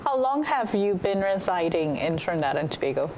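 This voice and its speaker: A woman hosting a studio interview, prompting her guest in a brisk, friendly way. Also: lowish voice, sing-song, noisy background. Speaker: TV talk show host. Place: television studio.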